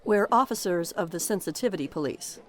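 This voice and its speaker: A woman's voice: fairly low pitch, expressive, a little fast, soft background music, lightly acted. Actress as a stern, humorless policewoman. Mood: stern, official. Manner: clipped, official, reproving. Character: stern, humorless female officer of a thought police. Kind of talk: audio drama acting.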